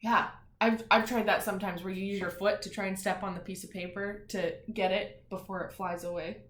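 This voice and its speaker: Female primary teacher for children's read-aloud, expressive delivery with gentle questioning asides, mid-range voice, roomy echo. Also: mid-range voice, a little hiss or hum. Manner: expressive, emphatic when reading, softer conversational asides; encouraging, pauses to question young listeners. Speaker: teacher reading a picture book to pupils. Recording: echoey room sound.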